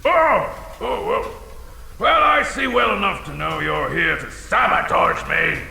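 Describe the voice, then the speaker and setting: A man playing a blustering, insecure, half-blind dragon in a comedic audio drama, swinging between theatrical threats and fussy muttering in a gravelly voice. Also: high voice, takes it slowly, sharp tone, exaggerated voice. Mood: indignant. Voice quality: gravelly, creaky. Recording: cavernous long reverb with faint noise.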